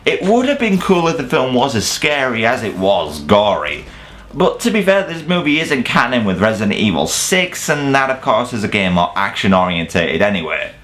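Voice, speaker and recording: A man's voice: mid-range voice, very animated, normal speed, fairly bright tone. A man hosting a countdown review, delivering semi-scripted commentary in a jokey, casually sweary way. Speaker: video reviewer and host.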